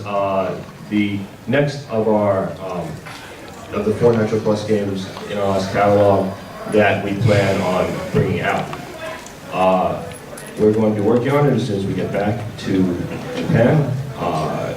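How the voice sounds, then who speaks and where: A man giving a product presentation at a convention panel, speaking in a halting, hesitant way. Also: fairly low pitch, background noise. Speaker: presenter for a visual novel publisher. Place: large indoor convention panel room. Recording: roomy, distant convention hall with audience. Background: audience crowd sounds.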